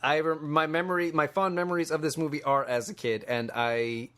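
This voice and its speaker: A man with a fairly high voice talks as a podcast co-host. He tells anecdotes conversationally, in an informal and emphatic style. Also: steady tone, a little fast, a bit bright. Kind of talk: casual conversational storytelling.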